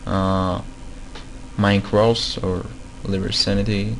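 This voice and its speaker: A man with a non-native accent walks through a game-modding tutorial at a slow, unhurried pace. His voice sits a little low, and he stops often for fillers and restarts. A faint background hum runs under his desktop mic. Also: level tone, gravelly, rough recording. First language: not English. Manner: hesitant, many fillers, repeats himself. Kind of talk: screen-recorded tutorial.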